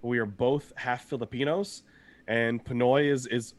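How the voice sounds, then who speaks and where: A young man with a slightly hoarse voice co-hosts a casual podcast. He rambles cheerfully, laughs easily and keeps the chat loose, sitting at an everyday middle pitch. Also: steady tone, moderate pace, a little hiss or hum. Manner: upbeat, rambling, laughs often. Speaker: podcast co-host.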